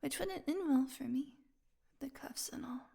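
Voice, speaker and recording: A woman playing a starving, captive vampire in an audio roleplay, sardonic and proud but desperate, with halting, breathy speech that often falls to a whisper. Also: sits high, warm tone. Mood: weak, delirious, sardonic. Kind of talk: intimate dramatic audio roleplay.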